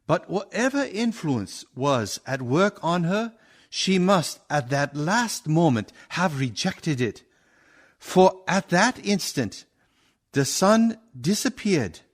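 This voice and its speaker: An actor playing a commanding, solemn vampire hunter in a radio play, with intense, theatrical delivery. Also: male voice, a little high, heavily acted.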